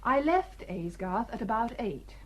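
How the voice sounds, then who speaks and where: A woman playing a brisk, defensive village doctor in a radio drama, clipped and guarded in manner. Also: on the high side, expressive. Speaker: actor.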